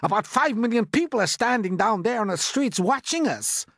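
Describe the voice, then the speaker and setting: Panicky fire chief in a storybook, really high yelpy voice, swoops all over. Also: male voice, big pitch swings, normal speed, a bit bright, no echo, clean studio sound, full character voice. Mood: tense. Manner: yelping, emphatic, stretched-out words. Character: panicky, excitable male head of fire department.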